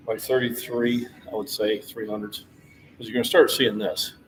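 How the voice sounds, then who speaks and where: A man's voice: lowish voice, little pitch movement, moderate pace, faint music behind, low-quality recording. A man in his sixties hosting a casual fitness livestream, chatting with viewers in a friendly, encouraging coach's way. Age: 60s.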